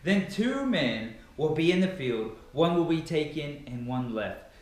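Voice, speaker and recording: A man's voice: fairly high pitch, big pitch swings, measured pace. Very echoey man reading scripture dramatically. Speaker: Bible reader. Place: bare carpeted living room indoors. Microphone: far camera. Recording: very echoey empty room, distant microphone.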